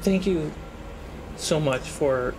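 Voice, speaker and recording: Higher-voiced man running a tabletop game. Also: slow. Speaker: game master. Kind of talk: casual tabletop game narration. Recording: faint background noise.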